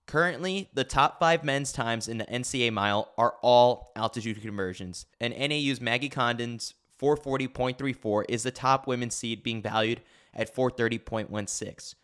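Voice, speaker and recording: A man narrates a scripted sports newsletter. His voice is bright and forward, with a smooth, crisp tone. The delivery is wry and clearly enunciated. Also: medium pitch. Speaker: host reading a track and field newsletter.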